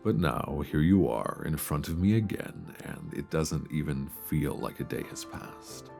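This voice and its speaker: A man playing a tender, nervous longtime friend confessing love in an intimate ASMR romance roleplay, with a deep voice and hesitant, earnest delivery, close to a binaural mic. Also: animated, vocal fry, music in the background, heavily acted. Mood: tender, longing. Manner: hesitant, soft, earnest, with sighs and pauses. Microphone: close binaural microphone.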